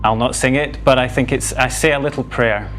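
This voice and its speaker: A man in his thirties answers quiz questions in a studio, hesitant and thinking aloud. His voice has an ordinary mid pitch, with pauses and fillers as he works it out. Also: steady tone, over music, rough recording. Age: 30s. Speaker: quiz contestant. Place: television studio.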